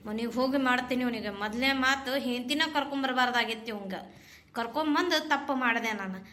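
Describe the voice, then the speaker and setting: A woman's voice: a little high, fast, sharp tone. A woman playing a worried older mother who laments her troubles to herself, with a North Karnataka accent. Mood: lamenting. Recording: roomy echo.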